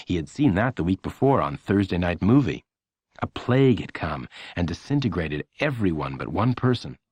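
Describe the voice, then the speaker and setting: Male narrator for a children's book: low register, lively intonation, measured delivery, dry studio sound. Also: husky, no room sound. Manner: expressive, measured storytelling. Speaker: audiobook narrator. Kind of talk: scripted children's story narration.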